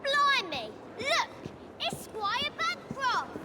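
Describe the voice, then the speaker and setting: Game bit part: cheeky London urchin, piercingly high, drawn-out delivery, very noisy ambience. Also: female voice, very animated, exaggerated voice. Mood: excited, eager. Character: excited, cheeky Victorian London street child. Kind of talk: video game character acting.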